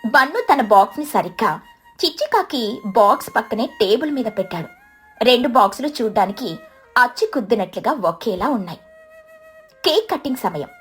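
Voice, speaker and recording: A woman's voice: fairly high pitch, very animated, moderate pace, fairly bright tone, slightly rough, soft background music. A woman narrating a scripted children's story.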